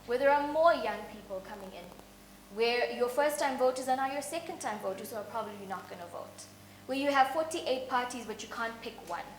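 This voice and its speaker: A woman argues her case on a panel, pressing her points with pointed rhetorical questions. Her voice is on the high side. The room echoes, with a faint hum underneath. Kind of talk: panel discussion.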